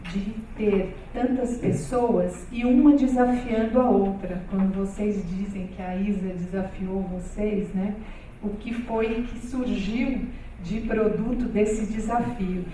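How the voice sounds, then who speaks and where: A woman's voice: medium pitch, warm tone, a little hiss or hum. Woman moderating in an echoey hall. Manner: hesitant, many fillers, conversational. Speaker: panel moderator. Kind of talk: seminar panel moderation. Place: large hall with stage. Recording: echoey hall, far from mic.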